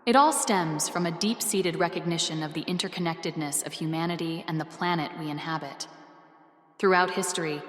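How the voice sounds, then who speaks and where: A woman playing a solemn, benevolent otherworldly guardian, delivering scripted proclamations in a formal, grandiose, measured manner. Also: fairly low pitch, natural voice. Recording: echoey, long reverb, faint music behind.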